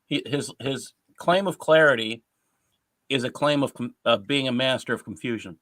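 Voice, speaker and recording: A man with a medium-pitched voice who talks like an educator. He explains each point step by step in a casual, conversational way. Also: moderate pace, fairly bright tone. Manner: explanatory, like a teacher walking through a grammar point. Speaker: co-host, educator. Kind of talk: casual critical discussion.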